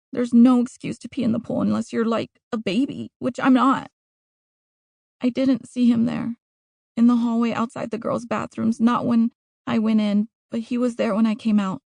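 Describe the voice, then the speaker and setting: A frightened, apologetic young girl, acted out by a woman narrator in an audiobook. Her voice sits high and soft-edged, and she rambles like a child anxious to explain herself. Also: vocal fry, no echo. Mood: uneasy.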